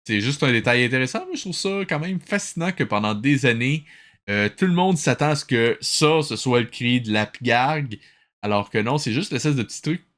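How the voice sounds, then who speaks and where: Un homme à l'accent québécois qui commente de vieux dessins animés de façon décontractée et sarcastique, un peu exaspéré. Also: male voice, mid-range voice, animated, fairly quick, fairly bright tone. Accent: Quebec French. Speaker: host of a web show reviewing old cartoons. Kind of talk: casual comedic commentary.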